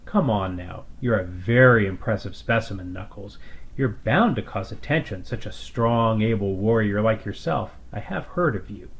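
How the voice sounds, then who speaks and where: A man plays a sly, flirtatious woman in a space opera story. She flatters and coaxes in a drawling, suggestive way, always angling for advantage. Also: fairly low pitch, normal speed, lo-fi sound, close to an everyday voice. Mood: flattering. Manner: drawling, coaxing innuendo.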